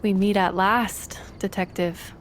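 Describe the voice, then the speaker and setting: A woman playing a poised, menacing con woman in an audio drama, speaking in a formal, measured, taunting manner. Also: mid-range voice, steady tone, a little hiss or hum, natural voice. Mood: coolly taunting.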